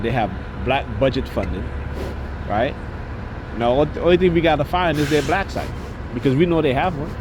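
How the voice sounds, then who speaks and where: A man with a stuffy nose from a slight cold, talking in a rambling monologue that keeps ending on "right?" and restarting its sentences. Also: mid-range voice. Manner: frequent "right?" tags, many restarts. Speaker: vlogger. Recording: loud street noise behind.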